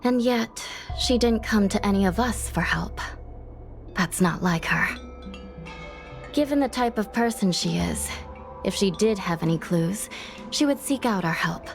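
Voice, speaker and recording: A woman playing a serious, level-headed investigator in a video game, speaking in a formal, measured, resolute way. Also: medium pitch, creaky, faint music behind. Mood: deducing.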